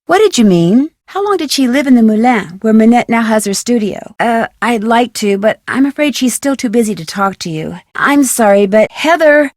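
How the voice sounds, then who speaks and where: An actress playing a curious, polite, chipper young female detective in a video game, asking things courteously and clearly. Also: fairly high pitch, animated, normal speed, hardly any pauses, darkish tone, no echo, studio quality, close to an everyday voice. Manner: inquisitive, courteous, clearly enunciated questioning. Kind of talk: scripted video game dialogue.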